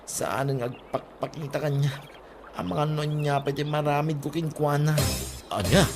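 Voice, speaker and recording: A man's voice: fairly high pitch, moderate pace, music in the background, close to an everyday voice. A man with an Ilocano accent acting a teasing, scolding village buddy in a comedy audio drama. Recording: clear background noise, ambience.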